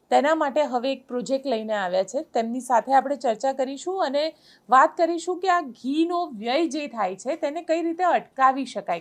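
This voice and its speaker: A woman with a Gujarati accent presenting an interview topic in a measured, explanatory and emphatic way. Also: high voice. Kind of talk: spoken introduction to an interview.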